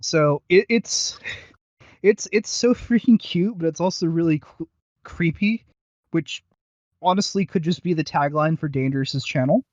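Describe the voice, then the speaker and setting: A man co-hosting a casual review podcast, talking in a rambling, halting but enthusiastic way. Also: a little high, a little mellow, no room sound, lo-fi sound. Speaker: podcast co-host reviewing audio roleplays.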